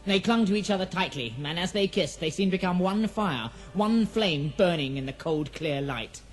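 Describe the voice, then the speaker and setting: A man's voice: sits high, animated, moderate pace, faint music behind. A man narrating a scripted fantasy audio drama in a solemn, literary storytelling manner.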